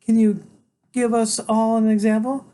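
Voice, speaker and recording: A man's voice: high-pitched, level tone, normal speed, dark tone, pitched above the performer's own voice, lightly acted. Man playing a prim flustered schoolteacher. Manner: prim, polite, nervous. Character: flustered new woman teacher, prim.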